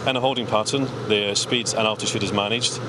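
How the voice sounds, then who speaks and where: A man's voice: fairly low pitch, fairly even intonation, brisk. A man giving plain, matter-of-fact explanations in interview answers as an air traffic control representative. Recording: prominent music under the voice.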